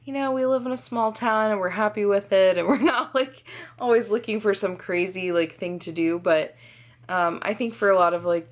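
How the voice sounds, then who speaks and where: A woman's voice: mid-range voice, moderate pace. A young woman vlogging casually at home, chatty, with lots of "like" fillers and small laughs. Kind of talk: casual vlog chat. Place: home kitchen.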